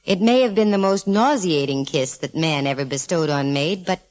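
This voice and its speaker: A woman's voice: low-pitched, expressive, moderate pace, a little mellow. A woman playing a gutsy, wisecracking private detective in 1940s radio drama, with a breezy, sardonic, hard-boiled manner. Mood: wry, storytelling. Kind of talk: 1940s radio acting with first-person narration.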